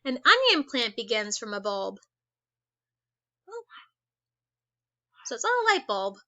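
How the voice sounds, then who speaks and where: A woman teacher reading a children's book aloud. She reads slowly and stops to ask the class questions. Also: fairly high pitch, big pitch swings, bright, forceful tone, dry recording, lo-fi sound. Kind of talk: read-aloud lesson for children.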